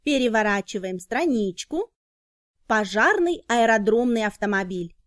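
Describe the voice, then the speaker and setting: A woman reads scripted educational narration for children, explaining in short, clearly enunciated phrases. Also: fairly high pitch, animated, a little slow, a little hiss or hum.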